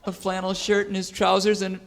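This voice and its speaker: An actor playing an excitable, wide-eyed cowboy pal in a parody of western radio serials, given to exclamations. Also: male voice, high voice, a little fast, creaky, in a large hall. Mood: disgusted, curious.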